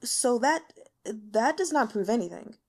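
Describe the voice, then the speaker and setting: A woman's voice: on the high side, lively intonation, a little slow. Woman voicing a nervous, deferential elder court healer. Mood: nervous, insistent. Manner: nervous, hesitant, stammering, formal.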